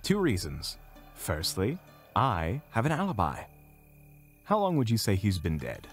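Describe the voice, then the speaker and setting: A man playing a composed, dryly self-assured mage, explaining in a measured way in an audio drama. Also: on the low side, wildly swooping pitch, heavily acted. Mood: dry, defensive. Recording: music playing behind. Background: background music.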